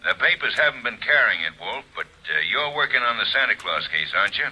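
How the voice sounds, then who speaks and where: An actor playing a brusque, impatient police inspector in old radio drama. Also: male voice, mid-range voice, big pitch swings, fast talker, pushed and bright, husky, exaggerated voice, rough recording. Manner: brusque, blunt. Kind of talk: 1940s radio acting.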